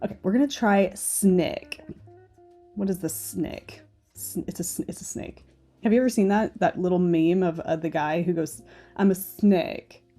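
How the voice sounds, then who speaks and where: Woman knitting host, stuffy-nosed and nasal, chatty, opinionated, mellow tone. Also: on the low side, steady tone, moderate pace, soft background music. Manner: chatty and opinionated, often asking "okay?". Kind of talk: casual screen-share commentary.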